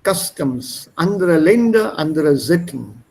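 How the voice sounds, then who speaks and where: A male teacher on a video call with a high voice, talking slowly. He explains German words patiently and switches into English to make them clear. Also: very animated, a little mellow. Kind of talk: online language class.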